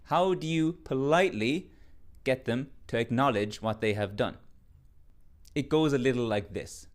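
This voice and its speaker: A man delivers a quick lesson straight to the camera. His voice sits at an ordinary middle pitch, and he lectures with emphatic, mock-indignant firmness. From Ireland. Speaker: English teacher. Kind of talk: direct-to-camera short lesson.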